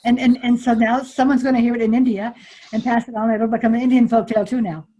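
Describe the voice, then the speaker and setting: Husky older woman presenter. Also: fairly high pitch, fairly even intonation, quick pace, warm tone. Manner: repeats words often, chatty and reassuring. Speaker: webinar presenter, storyteller. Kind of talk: casual discussion on a video call. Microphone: video call.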